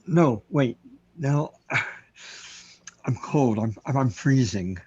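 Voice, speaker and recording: A man playing a panicking, fretful man in a radio play, faltering and pleading, with a husky voice. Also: mid-range voice, lively intonation, extremely slow, dark tone, no echo, lo-fi sound, exaggerated voice. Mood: desperate, panicked. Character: panicking man lost in the void, desperate and fretful.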